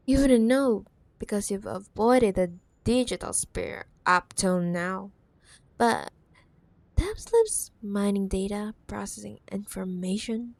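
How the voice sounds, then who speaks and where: A woman's voice: mid-range voice, vocal fry, no echo. A woman playing a possessive, menacing corporate CEO ex-girlfriend in a roleplay ASMR drama, mock-sweet and teasing, sometimes dropping to a whisper.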